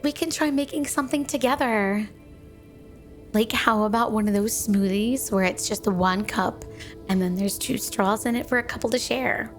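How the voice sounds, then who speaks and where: Woman acting a high-voiced flirty snow spirit wife. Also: moderate pace, soft background music, natural voice. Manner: playful, coy, doting, teasing. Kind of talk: ASMR roleplay spoken to the listener.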